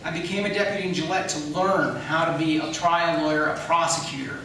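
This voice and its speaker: Strong room echo and faint noise surround an American man in a campaign debate. He explains firmly in a bright, slightly raised voice, repeating key points for emphasis. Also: on the high side, steady tone, fairly quick. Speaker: county attorney seeking re-election. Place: echoey hall at a public debate. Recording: very echoey room, distant mic, faint noise.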